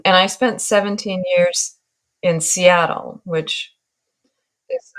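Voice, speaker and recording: A woman in her sixties from Northern California, a guest artist in an interview, talking in a reflective, wry way and laughing at herself. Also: sits low. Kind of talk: relaxed interview talk.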